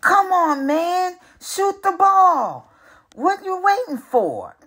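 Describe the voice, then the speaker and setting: A woman voicing excitable men who shout drawn-out cheers and complaints at a televised game in a storybook. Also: very high voice, pitched above the performer's own voice, heavily acted. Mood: excited, indignant.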